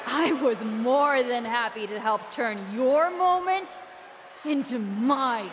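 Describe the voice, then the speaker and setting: A bitter, taunting wrestling promoter. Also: female voice, fairly high pitch, background noise. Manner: cold, taunting, deliberate. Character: bitter, jealous young woman wrestling promoter. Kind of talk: video game cutscene acting. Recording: roaring arena crowd behind.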